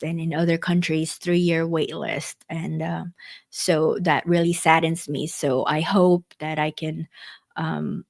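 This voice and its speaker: Chatty woman from the Philippines, low voice. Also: vocal fry. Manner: chatty, full of fillers and restarts. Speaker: workshop host. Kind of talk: casual online workshop presentation.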